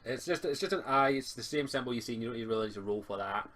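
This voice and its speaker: A man improvising narration as a tabletop game master, speaking casually and haltingly, sometimes swearing, on an amateur online recording. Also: mid-range voice, animated, a bit bright.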